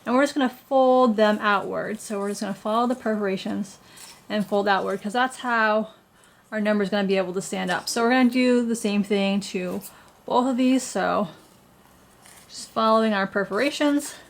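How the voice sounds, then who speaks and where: A woman's voice: mid-range voice, normal speed, vocal fry. A woman who is getting over a cold, walking through a paper craft tutorial with plain, patient, step-by-step instructions. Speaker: craft blogger.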